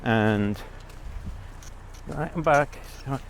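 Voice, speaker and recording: A man's voice: mid-range voice, slow, vocal fry, a little hiss or hum. A man giving a blunt, rambling monologue as a vlogger, talking while out on a walk.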